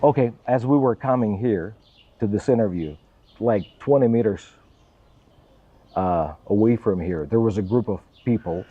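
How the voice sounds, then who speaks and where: Russian-accented man, earnest and low. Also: normal speed, slightly rough, phone-quality sound. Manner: earnest, self-deprecating, careful English. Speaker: church assistant and pastor. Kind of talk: interview answers.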